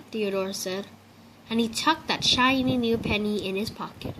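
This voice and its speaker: High-voiced woman reading a children's story. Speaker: storybook reader. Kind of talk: read-aloud children's story narration. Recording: clear steady background noise.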